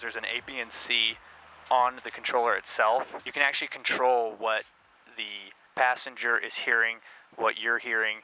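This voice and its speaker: A man with a fairly low, bright, forward voice talks casually, as if hosting a car-build vlog. He explains things informally. Faint vehicle noise runs behind him inside the cabin. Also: rough recording. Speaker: host of a car-build video channel. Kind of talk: casual product-review vlog talk.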